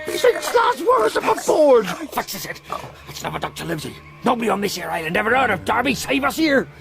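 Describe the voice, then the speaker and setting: Shrill, excitable pirate crewman. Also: brisk, soft background music, heavily acted. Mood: panicked. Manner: agitated, rough rustic seaman speech. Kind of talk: radio drama acting.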